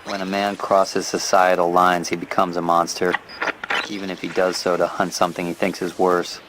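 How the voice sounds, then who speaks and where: A man performing a weary, resolute male fugitive from a video game. The voice sits low and barely moves in pitch, with grave, clipped delivery. Clear background noise runs behind it. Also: flat delivery, moderate pace, natural voice. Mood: brooding. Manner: grave, terse.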